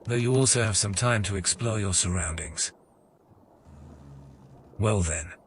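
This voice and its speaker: An actor narrating a fantasy audio game as a calm, measured male storyteller. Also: on the low side, slight background noise, close to an everyday voice. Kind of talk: scripted narration.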